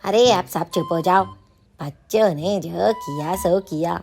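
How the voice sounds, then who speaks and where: A woman's voice: on the low side, gravelly, music in the background. A woman voicing an exasperated parent crow who scolds in a children's cartoon story. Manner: drawn-out, scolding.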